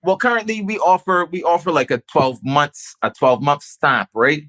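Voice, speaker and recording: A man's voice: fairly high pitch, animated, normal speed, fairly bright tone. A man with a Barbadian accent, a business owner talking casually and stressing his points by repeating phrases. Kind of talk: casual conversation.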